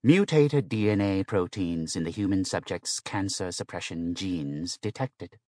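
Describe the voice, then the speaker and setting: A man voicing a medical machine that speaks in a flat, clinical monotone. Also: deep voice, unhurried, dry recording, studio quality. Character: flat-toned robotic medical machine voice from a mirror.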